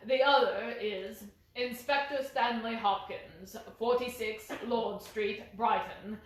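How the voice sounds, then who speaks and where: Brisk, exacting male detective for a story reading, voiced by a woman, higher register, strong room echo. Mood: brisk, triumphant. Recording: very echoey room.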